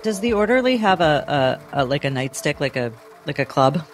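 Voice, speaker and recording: A man's voice: a little high, very animated, normal speed, soft background music. A man playing a tabletop game, casually describing what his character does.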